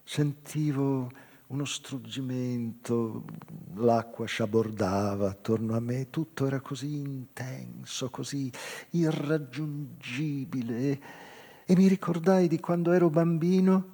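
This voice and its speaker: An actor playing a wistful, intense man recounting an old love in a deliberate theatrical reading, with pauses and a voice that can sink toward a whisper. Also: fairly high pitch, fairly even intonation, mellow, breathy edge, full character voice. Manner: slow, deliberate, pauses, sometimes dropping to near whisper. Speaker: actor narrating a literary monologue. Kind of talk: theatrical dramatic reading of a first-person story. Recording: reverberant hall, live guitar music behind. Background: plucked guitar music.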